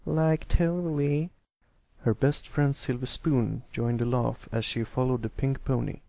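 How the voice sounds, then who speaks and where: A man's voice: mid-range voice, steady tone, normal speed, gravelly, a little mellow, no room sound, poor audio. A man reading scripted story narration as an amateur narrator. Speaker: amateur fan fiction narrator.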